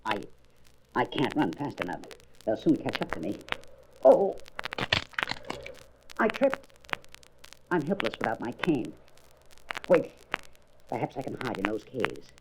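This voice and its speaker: A man's voice: medium pitch, huge pitch swings, measured pace, pauses often. A man playing a frail, earnest, anxious doctor who thinks aloud dramatically in a comic-book audio drama. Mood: panicked, despairing. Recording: faintly noisy old record.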